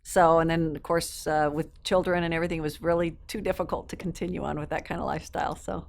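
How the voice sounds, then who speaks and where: A woman's voice: low-pitched, fairly even intonation, fast, creaky, a little hiss or hum. A woman in her fifties who grew up in South America, an interview guest telling stories in an upbeat, fluent way with a few ums. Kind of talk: casual interview chat.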